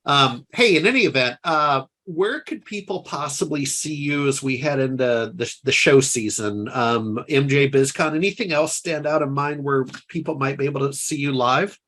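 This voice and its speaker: Male interview host, casual and jokey, quick to laugh, rambling questions. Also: mid-range voice, fairly bright tone. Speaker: podcast host.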